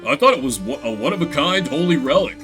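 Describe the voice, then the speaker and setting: A man's voice: a little high, expressive, fast talker, close to an everyday voice. A man voicing a casual, eager rodent castle worker in a video game. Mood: surprised, gossipy. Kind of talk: voiced story video game dialogue. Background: music.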